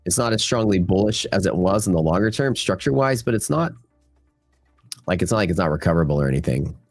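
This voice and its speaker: A man's voice: low voice, lively intonation, fast talker, darkish tone, soft background music. A man hosting a live futures trading stream, talking loosely to his chat, his voice worn and nasal from a cold. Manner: loose, filler-heavy talk to the chat. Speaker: futures trading livestream host. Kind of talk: casual live market commentary.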